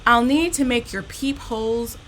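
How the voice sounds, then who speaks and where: A woman's voice: fairly high pitch, normal speed, fairly bright tone, a little hiss or hum, natural voice. A woman voicing a harried female bear teacher directing a children's play in a storybook, with theatrical, commanding calls. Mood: brisk.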